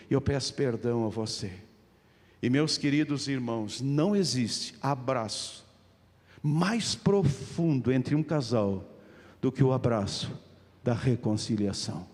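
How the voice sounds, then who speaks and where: A man's voice: medium pitch, a little mellow. A middle-aged man with a European Portuguese accent preaching an evangelical sermon as a pastor, addressing his listeners directly with emphatic repetition. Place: large church hall, live service. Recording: long hall reverb of a church.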